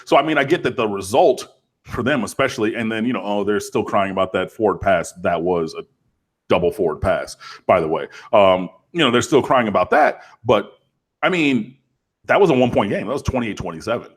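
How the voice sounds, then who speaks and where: A man chatting casually as a college football podcast co-host, rambling and animated with lots of fillers. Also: lowish voice, a little fast, husky. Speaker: co-host, Miami fan. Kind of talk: casual sports podcast chat.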